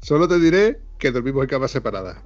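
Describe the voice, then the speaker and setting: A man's voice: medium pitch, sing-song, fast, a little mellow, dull audio. A man hosting a podcast, telling stories in a casual, jokey way and laughing often. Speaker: podcast host. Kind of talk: casual chat.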